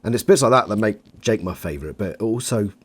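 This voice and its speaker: A man's voice: fairly low pitch, expressive, a little fast. A man talking casually as an interviewer and reviewer in a fan discussion.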